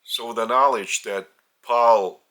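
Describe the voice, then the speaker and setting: A man with a Lithuanian accent, a spiritual teacher speaking in short halting phrases with restarts. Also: mid-range voice, fairly even intonation, fairly bright tone.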